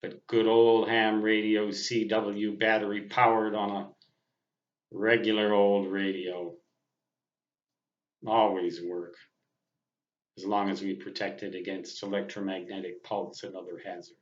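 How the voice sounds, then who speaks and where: An older man, a ham radio hobbyist, giving an unscripted monologue to viewers in deliberate, wordy, self-deprecating phrases. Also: lowish voice, level tone. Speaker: amateur radio operator and vlogger. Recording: dull, roomy, echoing sound.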